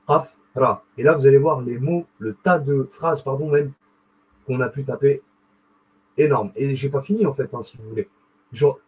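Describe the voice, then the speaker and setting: A young man with a husky voice, talking casually and excitedly to his viewers in a rambling, slangy way. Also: fairly high pitch, rough recording. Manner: rambling, animated, slangy, addresses viewer directly. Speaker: video host. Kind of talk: casual explanatory monologue.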